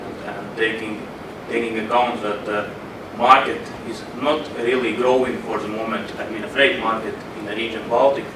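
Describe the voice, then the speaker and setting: A man's voice: on the low side, fairly even intonation, normal speed, few pauses. A man speaks up from the floor of a conference hall, his voice heard from a distance through a roomy echo. He asks his question hesitantly, hunting for words, over a noticeable noise bed. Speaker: audience member asking a question. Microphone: room audio, away from the microphone.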